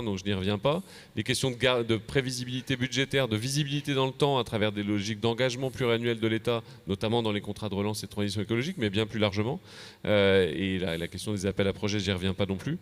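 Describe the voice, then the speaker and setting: Fast-talking, low-pitched Frenchman presenting policy. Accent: French from France. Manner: halting, repeats words. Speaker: association official presenting a policy manifesto. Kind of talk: semi-prepared conference panel presentation. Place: convention plenary session.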